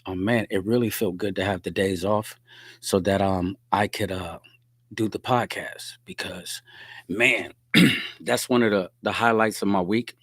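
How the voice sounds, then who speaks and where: A middle-aged man hosting his podcast alone, hoarse and relaxed, chatting to viewers and answering their comments. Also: lowish voice, a little slow, dry recording, clean studio sound. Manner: laid-back, talks straight to viewers, reads out comments. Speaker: podcast host. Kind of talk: casual solo livestream chat.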